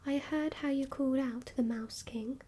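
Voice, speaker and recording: A woman's voice: sits high, fairly even intonation, normal speed, dark tone, slight background noise, close to an everyday voice. A woman whispering as a sick little girl. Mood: reproachful. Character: young girl, sick in bed, reproachful.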